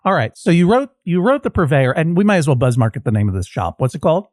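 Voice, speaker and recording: A man's voice: fairly high pitch, very animated, quick pace, darkish tone, no room sound, studio quality. Wry joking man hosting a podcast. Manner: wry, joking, rambling asides. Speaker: podcast host acting as judge. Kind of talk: playful comedic questioning.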